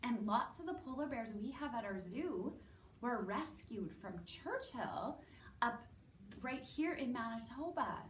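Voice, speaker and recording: A woman with a Canadian accent presenting to young children as a story time host, in an animated way with simple, drawn-out wording. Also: fairly high pitch. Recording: roomy, distant mic with background noise.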